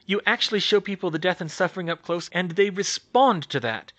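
A man's voice: fairly high pitch, sing-song, brisk, a bit bright, pitched above the performer's own voice. A man voicing a smug, scheming middle-aged woman gossip journalist, pushy in tone.

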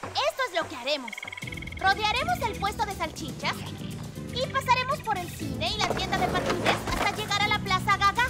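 Super high eager little cartoon girl, dramatic, swoopy voice, loud music under it. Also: moderate pace. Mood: determined. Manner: excitable, dramatic, emphatic. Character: bubbly, adventurous young girl, cute and eager. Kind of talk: animated children's cartoon dubbing in Spanish.

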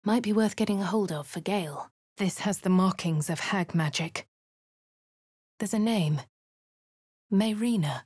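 An actress playing a posh, composed fantasy adventurer in a video game, with a British accent and a refined, measured, dramatic delivery. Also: female voice, medium pitch, a little mellow, breathy edge, no room sound, clean studio sound. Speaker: voice actor for a video game player character. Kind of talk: scripted game voice lines and narration.

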